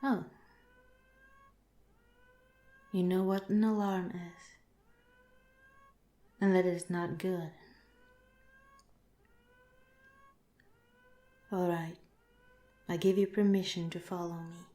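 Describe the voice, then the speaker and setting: A calm alien scientist, acted by a woman speaks in a lowish, very mellow voice. The delivery is soft, measured and politely detached, in the manner of an ASMR roleplay. The sound is noticeably roomy and echoing. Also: natural voice. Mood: controlled concern. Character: calm, clinical alien researcher reassuring a captive human.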